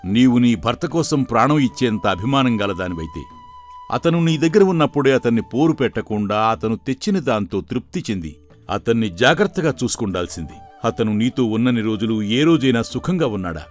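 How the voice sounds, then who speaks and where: A man playing a stern, knowing sage in an animated folktale, admonishing and explaining. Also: medium pitch, fairly quick, soft background music, natural voice. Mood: stern reproach. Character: stern male sage, secretly a scheming magician in disguise.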